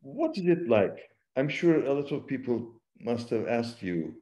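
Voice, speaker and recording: A man's voice: medium pitch, very animated, dark tone. A man interviewing a guest over a video call, asking short questions with hesitant fillers. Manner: halting, short prompts with fillers.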